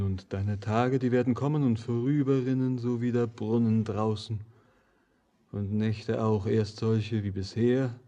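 An actor playing a haunted young stranger in a poetic radio play, declaiming in a lyrical, solemn and impassioned way with a husky voice. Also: male voice, fairly low pitch, mellow. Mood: solemn, wistful. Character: haunted young wandering stranger, fervent and doom-laden.

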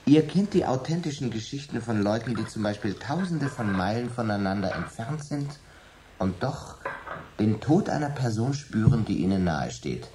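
An actor playing a middle-aged prankster dinner guest in a radio play, teasing and lowering to a menacing hush. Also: male voice, animated, moderate pace, a little hiss or hum, natural voice. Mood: musing.

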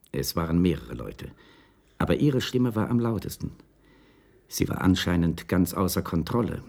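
An actor playing an apologetic, uneasy neighbour in a radio crime drama, speaking hesitantly and deferentially. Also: male voice, low voice, gravelly, darkish tone, breathy edge, exaggerated voice. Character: apologetic, uneasy neighbour giving witness account. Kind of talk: 1980s German radio drama acting.

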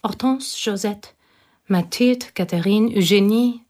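An actress playing a provincial woman recalling an old school friend, speaking quietly and wistfully in a radio drama. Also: medium pitch, measured pace, natural voice. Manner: quiet, wistful, partly whispered.

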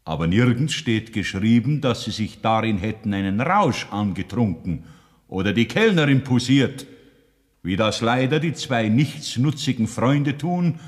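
A man with a Bavarian accent narrating a 1960s radio play in a solemn, preachy sermon manner. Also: medium pitch. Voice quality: solemn, preachy, slow and deliberate. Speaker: narrator preaching a moral tale. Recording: long hall reverb.

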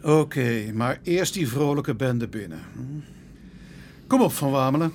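An actor playing a world-weary police inspector in a radio play, with a dry, teasing, sighing manner. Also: male voice, mid-range voice, expressive, slow pace, darkish tone, a little hiss or hum. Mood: businesslike. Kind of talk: Dutch radio play acting.

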